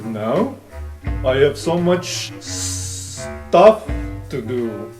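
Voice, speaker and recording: A tabletop player voicing a grumpy, excitable, blunt and dramatic student. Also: medium pitch, very slow, echoey room. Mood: grumpy, dismissive. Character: grumpy, excitable, easily spooked student called Rampart. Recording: music behind.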